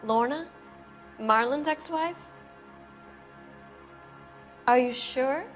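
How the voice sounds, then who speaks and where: A cynical Hollywood wife. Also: female voice, high-pitched, very slow, soft background music. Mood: guarded. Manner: cool, bitter and evasive. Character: cynical female producer's wife, cold and guarded. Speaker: producer's wife and former actress. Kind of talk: 1940s noir video game acting.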